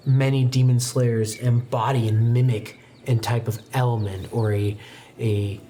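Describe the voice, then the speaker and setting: A man working as game master, narrating a tabletop roleplaying game in a dramatic, halting way with informal asides. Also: mid-range voice, flat delivery, dark tone, slight background noise.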